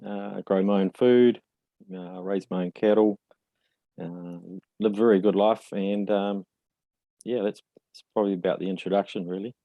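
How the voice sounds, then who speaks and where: A man in his forties with a New Zealand accent, a guest speaking in a reflective, halting way with many fillers. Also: lowish voice, fairly even intonation, dry recording, phone-quality sound. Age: 40s. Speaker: podcast guest. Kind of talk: casual interview conversation.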